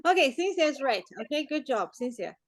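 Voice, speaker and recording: High-pitched playful woman teacher in her forties. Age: 40s. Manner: playful, teasing, often chuckling. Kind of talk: interactive online class chat.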